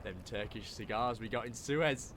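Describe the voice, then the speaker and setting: A man's voice: medium pitch, moderate pace, natural voice. A young man with a British accent playing an easygoing teenage soldier in a First World War audio drama. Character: easygoing underage British soldier, streetwise lad. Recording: noisy wartime sound effects behind.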